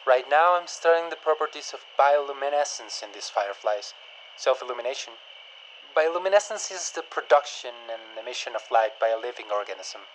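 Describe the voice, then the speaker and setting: A guarded, dutiful scientist played by a man in a dystopian audio drama. His medium-pitched delivery is stiff, precise and pedantic, over faint background ambience. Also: animated, fairly quick, slight background noise, natural voice, rough recording. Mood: lecturing, stern. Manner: stiff, precise, lecturing, evasive.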